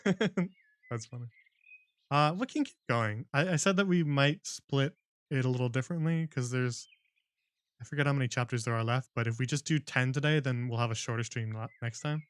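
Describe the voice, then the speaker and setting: A man's voice: medium pitch, normal speed, smooth, no echo. A man hosting a livestream book club, chatting with viewers and laughing often before reading a novel aloud.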